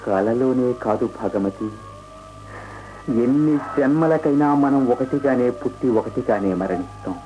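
An actor in a radio play playing a defiant, romantic young crown prince, speaking in a measured, theatrical and pointed way. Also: male voice, mid-range voice, lo-fi sound, lightly acted. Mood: tender, solemn. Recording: radio drama with music.

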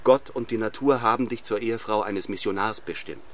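A stern young clergyman, acted by a man in a literary radio drama. His voice sits fairly low and he speaks with cold, solemn control, pressing his will on the listener like an order. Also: a little fast, close to an everyday voice. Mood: solemn, insistent. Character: St. John Rivers, stern, cold, domineering young clergyman missionary. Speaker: actor in a radio play.

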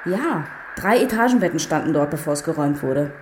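An actress playing an earnest, businesslike police investigator giving matter-of-fact briefings in a radio crime drama. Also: female voice, deep voice, expressive, brisk, background noise.

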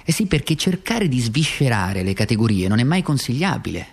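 An actor playing a bitterly sarcastic, worn-out communist wage earner in a theatrical, ironic political radio monologue. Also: male voice, medium pitch, sing-song, gravelly, breathy edge, heavily acted. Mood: sardonic, deliberate. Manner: theatrical, ironic, swinging from deliberate to frantic.

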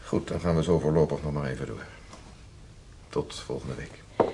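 An actor playing a genial, gently ironic hospital professor in a Dutch radio drama, speaking in a measured, courteous way. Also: male voice, rumbling low, steady tone, vocal fry. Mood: kindly, reassuring. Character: genial male hospital professor, courteous, teasing, paternal doctor. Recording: noisy old radio play recording.

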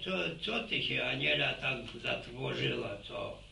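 A man's voice: medium pitch, expressive, measured pace, bright, forceful tone, heavily acted. An actor playing a bumbling, wry old village grandfather who stammers and repeats himself in radio drama style. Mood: teasing, flustered. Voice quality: creaky. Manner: stammering, repetitive, jocular. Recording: roomy, distant, faintly noisy radio recording.

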